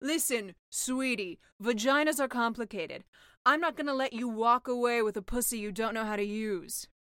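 A woman's voice: a little high, normal speed, fairly bright tone, clear, resonant tone, dry recording, studio quality, natural voice. A woman voicing a brash, worldly sea witch with a blunt, matter-of-fact, slightly sly manner in a comic fairy-tale audiobook. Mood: blunt, exasperated.

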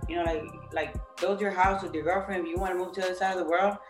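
A woman's voice: fairly low pitch, steady tone, racing, over music. A woman guest on an interview podcast, talking casually and animatedly, acting out what other people say and leaning on "like" and "you know". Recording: echoey room.

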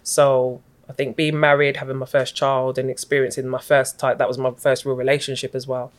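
A middle-aged woman, a guest in an interview, recounting her story in a reflective, even-toned, unhurried way. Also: very deep voice. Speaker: guest, community activist.

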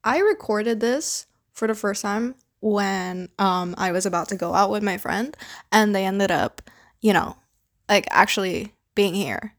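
This is a young woman talking by herself in a loose, casual podcast monologue. She was born in the Philippines but her English sounds Canadian, and her pitch sits in the middle for a woman. She rambles, drops "like" and "you know" constantly, and swears offhandedly. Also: measured pace. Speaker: podcast host.

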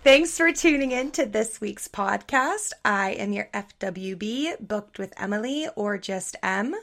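A young woman with a raspy voice co-hosting a book podcast, joking and giggling in casual chat. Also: mid-range voice, lively intonation, a little slow, fairly bright tone. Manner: playful, giggly. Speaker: podcast co-host.

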